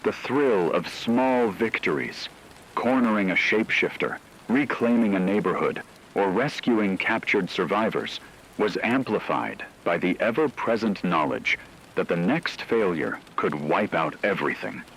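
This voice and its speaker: A man delivering scripted dramatic narration in a grave, measured storytelling manner. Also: lowish voice, animated, a bit bright, a little hiss or hum, poor audio.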